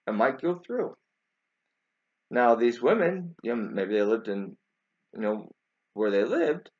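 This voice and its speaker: A man in his forties talks informally to camera in a lively voice that rises and falls a lot. He speaks haltingly and doubles back on his words with emphatic emphasis. The sound is dull and lo-fi, as from a webcam. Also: mid-range voice. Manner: halting, repeats words, emphatic rhetorical questions. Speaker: video commentator. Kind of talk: informal spoken commentary to camera.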